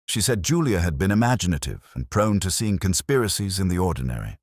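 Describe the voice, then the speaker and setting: A man narrating a detective story in the first person, in a measured, reflective, literary manner. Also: deep voice, very animated, no room sound, studio quality. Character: world-weary male police inspector recounting a case.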